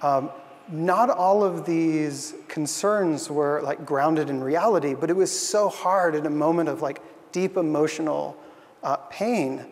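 Young man, conference talk, earnest, filler words, fairly high voice, reverberant hall. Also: measured pace. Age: young adult. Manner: earnest, thoughtful, with frequent uh and um fillers. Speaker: conference speaker. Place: stage in a large hall.

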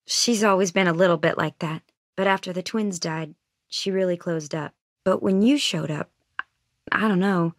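A woman's voice: medium pitch, dry recording, clean studio sound. A woman playing an earnest, anxious teenage girl survivor in a video game, friendly and folksy but hesitant and weighed down by guilt. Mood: guilty, hesitant. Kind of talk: naturalistic video game voice acting.

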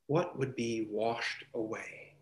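A man in his thirties, a pastor, giving a calm, questioning sermon reflection over a video call from a room at home. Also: on the low side, slow pace, reverberant, low-quality recording. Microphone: earphone mic on a video call.

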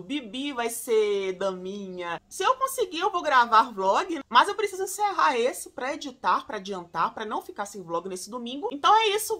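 A woman in her forties chatting to camera from a room at home, recommending skincare products, animated and chatty, calling her viewers "gente". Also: high-pitched. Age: 40s. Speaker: beauty vlogger.

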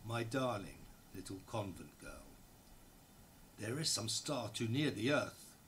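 A man's voice: lowish voice, very animated, measured pace, a bit bright, a little hiss or hum. An actor in his sixties giving a dramatic reading as an ardent, guilt-ridden male writer addressing his lover, in a live room. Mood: ardent, confessional. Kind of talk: dramatic reading of a literary letter. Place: indoor library room, seated before an audience.

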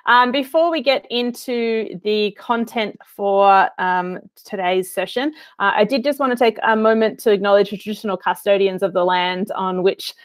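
A woman's voice: medium pitch, no echo. A woman in her thirties with an Australian accent, hosting an online webinar in a chatty, filler-heavy way over a video call. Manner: chatty, friendly, lots of um and uh. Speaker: webinar host. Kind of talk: casual presenting with many fillers.

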